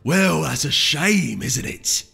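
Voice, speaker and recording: A man's voice: a little high, animated, slow, creaky, sharp tone, slightly rough, pitched above the performer's own voice, exaggerated voice. Man voicing a menacing, burly pony thug in reverb. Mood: taunting. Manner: blunt, taunting. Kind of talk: audiobook character voice. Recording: echoey hall reverb, music behind.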